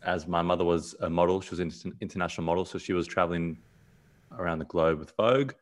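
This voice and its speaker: A man speaks from a small room at home over a video call webcam. His voice sits low. He rambles gently, repeating words and restarting sentences as he talks. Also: fast talker. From South Africa, raised in Australia. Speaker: podcast guest. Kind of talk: casual conversation on a video call.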